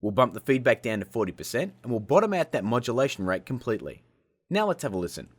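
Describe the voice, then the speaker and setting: Cheeky man hosting a tutorial, very animated. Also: medium pitch, quick pace, husky. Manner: cheeky, self-mocking, jokey asides. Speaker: host of a video-editing tutorial show. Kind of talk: casual scripted software tutorial narration. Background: music.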